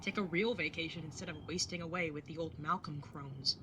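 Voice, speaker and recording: A woman's voice: deep voice, a little fast, pushed and bright, a little hiss or hum. A woman playing a candid, protective older sister in an audio drama about a road trip. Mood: playful, casual. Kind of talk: audio drama acting with first-person narration.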